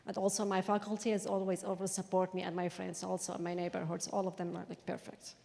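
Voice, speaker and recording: A woman in her forties from Iraq, giving brisk, polite remarks with grammar at a citizenship ceremony. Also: lowish voice, monotone, vocal fry, very noisy. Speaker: new citizen, dentist. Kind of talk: short personal remarks. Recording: handheld mic in a reverberant hall.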